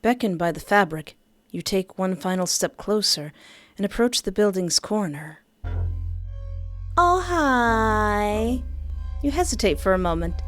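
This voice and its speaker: Woman, medium pitch, game streamer, reads story text aloud, jokey reactive commentary, soft music behind. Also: lively intonation, unhurried, long pauses. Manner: playful, reactive, exclaiming and joking. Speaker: video game streamer playing a dating simulator. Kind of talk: let's-play commentary mixed with reading game narration aloud.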